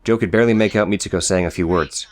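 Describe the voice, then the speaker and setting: Low-voiced male narrator. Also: quick pace. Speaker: audio book narrator. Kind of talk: scripted narration with character dialogue.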